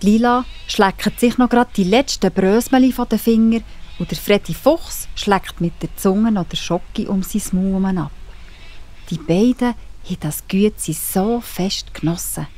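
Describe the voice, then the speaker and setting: A woman's voice: mid-range voice, a little slow, mellow. A woman with a Swiss German accent narrating a children's story. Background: music.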